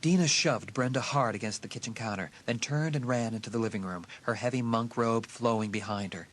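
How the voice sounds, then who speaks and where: A man's voice: medium pitch, brisk, fairly bright tone, slight background noise. A man giving scripted narration of a horror story for an audiobook.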